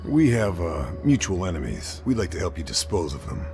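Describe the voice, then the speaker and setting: An actor playing a laconic, stoic American wartime sniper, terse and deadpan, in a video game cutscene. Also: male voice, low voice, fairly quick, faint music behind. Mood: guarded. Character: stoic, laconic WWII military sniper, a man. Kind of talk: dramatic video game cutscene acting and narration.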